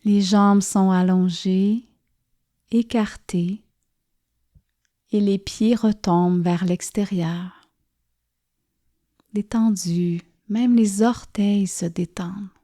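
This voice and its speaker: A woman leads a guided relaxation as a yoga nidra teacher. Her voice is mellow and warm, at an ordinary pitch for a woman. She speaks very slowly and softly, leaving gentle pauses between soothing phrases. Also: fairly even intonation. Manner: soft, soothing, gently pausing. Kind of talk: guided relaxation meditation narration.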